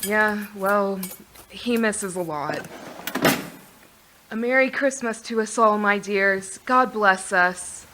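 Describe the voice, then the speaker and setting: Woman playing a bright-voiced, homely mother. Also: mid-range voice, slow, a little hiss or hum. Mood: fond, wistful. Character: devoted, plain-spoken mother of a poor family. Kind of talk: radio play acting.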